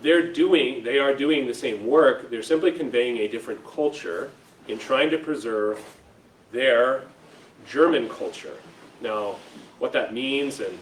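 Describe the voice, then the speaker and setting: A man's voice: medium pitch, a little slow. An American man giving an informal educational presentation to an audience, talking conversationally and checking in with his listeners. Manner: conversational, checks in with "right?" and "okay?". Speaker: presenter, lecturer. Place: room at a school, speaking to an audience. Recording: roomy echo, distant mic, faint noise.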